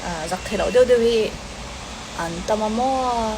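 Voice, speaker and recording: A woman answering fan questions in a relaxed, hesitant way, mixing several languages and pausing with fillers. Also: fairly high pitch. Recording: steady background hiss.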